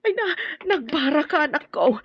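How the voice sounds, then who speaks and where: A woman's voice: sits high, sing-song, normal speed, no echo. A woman playing an anxious, protective mother in an Ilocano radio drama, worried and emotive. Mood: distressed. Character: anxious, protective mother of a sick grown daughter. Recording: dull lo-fi audio with music.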